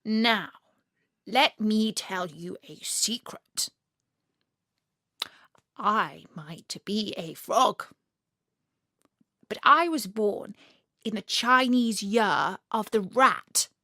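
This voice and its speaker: A woman's voice: mid-range voice, extremely slow, long pauses, pushed and bright, no room sound, clean studio sound, heavily acted. A woman voicing a noble, self-important male frog who speaks like a proud prince in a children's storybook. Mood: proud, earnest.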